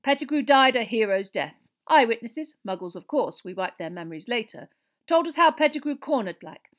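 A woman voicing a pompous middle-aged male government minister in a storybook, officious, measured and grave. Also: fairly high pitch, lively intonation, pitched above the performer's own voice, natural voice.

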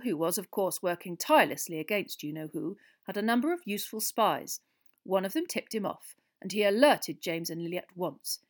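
Woman as a pompous, gruff older government minister. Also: on the low side. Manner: pompous, confiding.

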